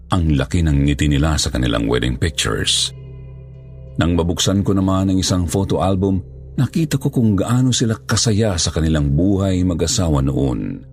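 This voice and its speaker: Male horror narrator, low and gravelly, reading scripted first-person tales. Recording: music bed under voice. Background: background music.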